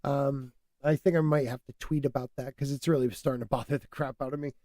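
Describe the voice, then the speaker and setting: A man hosting a casual podcast, stuffed up and losing his voice, talking in an animated, opinionated way and laughing often. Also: mid-range voice, fast talker, no echo, studio quality. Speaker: podcast host.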